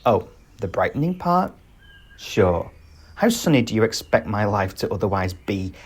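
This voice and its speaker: Man playing a wry, teasing bandit protector, lively intonation. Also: on the low side, normal speed, husky. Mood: bantering, fond.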